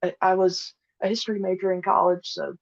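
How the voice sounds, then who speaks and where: The recording is dull and lo-fi, carrying a woman's mid-pitched voice. She is in her thirties and speaks casually as a podcast guest. Her phrasing is halting and hesitant as she gathers her thoughts. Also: flat delivery, creaky. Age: 30s. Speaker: podcast guest, audio drama creator. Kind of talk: casual interview conversation.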